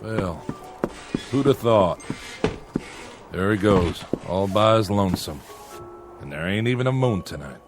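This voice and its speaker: An American actor playing a mocking, folksy saloon onlooker in an old television western, with a sardonic delivery. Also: male voice, on the low side, animated, unhurried, pauses often. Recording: vintage TV soundtrack with music underneath.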